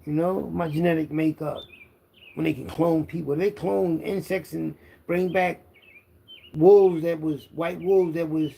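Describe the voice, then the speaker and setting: A man's voice: a little high, gravelly, dark tone. A man talks casually and self-assuredly in rambling, slang-heavy street monologue, boasting as he goes. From Philadelphia, United States. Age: 50s. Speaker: internet content creator. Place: outdoors, birds chirping behind. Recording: outdoor background noise with birdsong.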